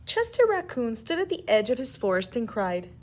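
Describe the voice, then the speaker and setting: A woman teacher reads aloud for young children. Her voice is fairly high with very lively intonation. She is expressive and instructive as she tells the story. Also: normal speed, a little hiss or hum. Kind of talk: children's read-aloud with questions to pupils.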